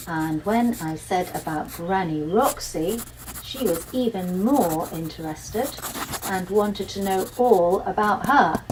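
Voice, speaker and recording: A woman's voice: on the low side, darkish tone, far from the mic, a little hiss or hum, rough recording. A woman narrating a first-person children's story expressively, as a school-age storyteller. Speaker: author reading from a children's novel.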